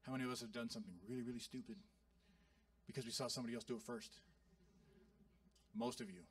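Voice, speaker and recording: A male pastor in his thirties giving an animated, joking sermon to his congregation. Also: mid-range voice, fast, vocal fry, no room sound, distant mic. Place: church sanctuary.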